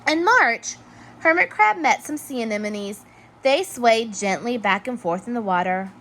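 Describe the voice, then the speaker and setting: A woman narrates a children's storybook in an expressive way. Her voice sits fairly high and moves up and down in a lively way, with some noise behind it. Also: measured pace. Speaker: storybook reader. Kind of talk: children's read-aloud narration.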